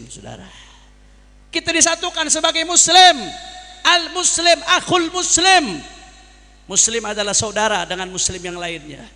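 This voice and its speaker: A man's voice: high-pitched, gravelly, sharp tone, big-room sound. A man preaching a sermon to a congregation as an impassioned orator, using repetition and rhetorical questions. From Jakarta, Indonesia. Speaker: Islamic preacher.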